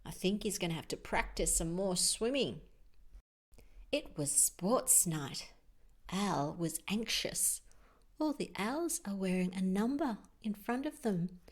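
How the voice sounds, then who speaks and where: A woman reads a children's story at a slow, easy pace. Her pitch moves around expressively, and she pauses to explain the pictures and ask the young listeners questions. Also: sing-song. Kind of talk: children's story read-aloud with chatty asides.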